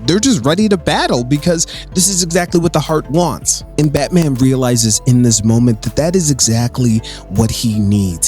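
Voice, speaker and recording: A man's voice: medium pitch, darkish tone. A man hosting a comics recap, retelling the story in a casual, conversational, enthusiastic fan commentary. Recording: voice with music underneath. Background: music.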